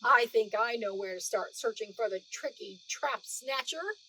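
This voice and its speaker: A woman's voice: fairly high pitch, animated, measured pace, sharp tone, slight background noise, low-quality recording. A woman voicing a stern, suspicious, easily amazed male museum guard in a storybook read-aloud, exclaiming emphatically. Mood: suspicious, surprised.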